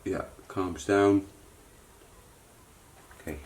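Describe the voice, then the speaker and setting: A man's voice: sits low, fairly even intonation, very slow, a little mellow. A man giving a casual, unscripted hands-on repair demonstration, thinking aloud as he works as the host. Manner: muttering to himself while working, thinking aloud. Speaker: repair technician and channel host.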